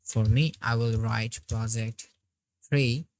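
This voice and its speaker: A woman narrating a software tutorial step by step, describing each click as she goes. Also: extremely low pitch, steady tone, slow pace, no room sound. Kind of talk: screen-recorded tutorial walkthrough.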